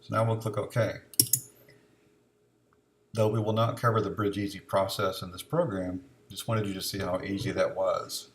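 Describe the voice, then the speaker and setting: Man, deep-pitched voice, software tutorial narration, explanatory, informal, faint noise behind. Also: little pitch movement, normal speed. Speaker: software demonstrator.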